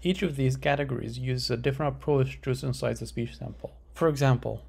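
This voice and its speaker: Gravelly male research presenter. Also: mid-range voice, a little fast, a little mellow. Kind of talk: scripted academic presentation.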